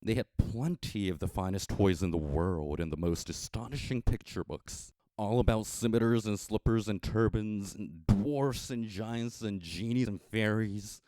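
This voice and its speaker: An American man narrating a story from a script in a measured, expressive storytelling manner with dramatic emphasis. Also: lowish voice, no echo. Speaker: audiobook narrator.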